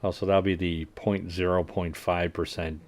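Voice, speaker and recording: Low-voiced man casually reading game patch notes. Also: little pitch movement, moderate pace. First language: English. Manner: reads notes, then adds wry asides that trail off quietly; frequent fillers and restarts while working out numbers. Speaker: livestream host.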